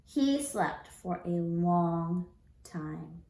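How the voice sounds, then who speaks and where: A woman teacher reading a storybook aloud to young children in an expressive, deliberate way. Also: lowish voice, gravelly, reverberant, slight background noise. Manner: deliberate, expressive, prompting listeners with questions.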